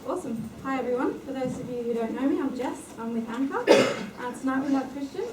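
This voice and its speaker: A woman hosting a tech meetup, briskly running through announcements and introducing the speaker. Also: high voice. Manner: brisk, reads housekeeping notes with many "uh" fillers. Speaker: meetup host. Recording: echoey room from far off with background noise.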